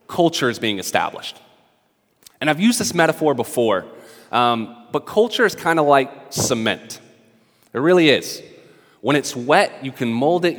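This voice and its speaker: Southern male pastor, a bit high-pitched and raspy with a cold, casual preaching in an echoing hall. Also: fairly bright tone. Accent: Southern American. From North Carolina. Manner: casual, conversational preaching with frequent restarts. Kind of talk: sermon. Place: church service in a hall.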